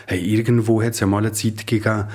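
A man's voice: fairly low pitch, level tone, fast, a little mellow, breathy edge. A man with a Valais Swiss German accent, talking spontaneously in an interview. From Upper Valais, Switzerland. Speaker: interviewee, band singer.

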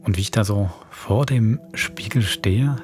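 A man, the producer and host of a horror audio series, telling a spooky scripted introduction in a hushed way. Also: lowish voice, measured pace, soft background music.

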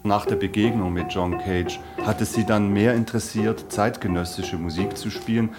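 A man interviewing in a conversational way, putting tentative questions with pauses. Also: lowish voice, steady tone, over loud music.

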